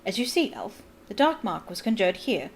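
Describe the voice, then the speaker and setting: A woman's voice: medium pitch, sing-song, moderate pace, slight background noise. A woman voicing a stern male ministry official who barks his questions. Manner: stern, interrogating.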